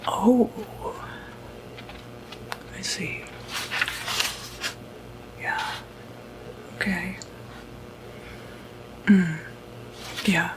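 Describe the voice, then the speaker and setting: A woman speaking in a breathy whisper, softly reassuring and hesitant, in an ASMR medical roleplay as clinic staff. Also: fairly low pitch, drawn-out words, pauses often. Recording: noticeable background noise, ambient sound.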